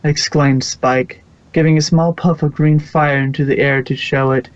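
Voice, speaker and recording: A man's voice: fairly high pitch, fairly even intonation, normal speed, dry recording. Man narrating a story, slightly dull sound. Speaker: narrator of a fan-fiction reading.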